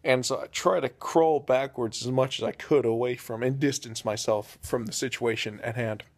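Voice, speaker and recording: Young guy, deadpan comic storyteller, rambles with lots of fillers. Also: mid-range voice, moderate pace. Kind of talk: improvised comedic storytelling.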